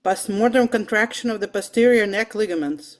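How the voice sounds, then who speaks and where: A woman's voice: medium pitch, brisk, natural voice. A woman reading the part of a wry, guarded male paleontologist in an amateur table read of a film script, dryly and with some stumbles. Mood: impressed.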